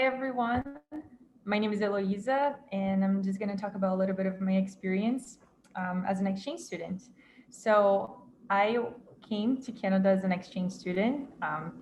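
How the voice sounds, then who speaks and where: A woman's voice: medium pitch, a little mellow, slight background noise, poor audio. A woman in her twenties with a Brazilian Portuguese accent, giving a grateful prepared speech with hesitant fillers. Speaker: former exchange student speaker.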